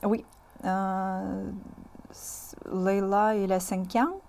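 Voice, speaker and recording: A woman from Moscou in her thirties with a Russian accent, speaking French as a second language. She is a guest in a casual interview and talks hesitantly, with many fillers and pauses. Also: mid-range voice, level tone, vocal fry, a little mellow. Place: community TV studio.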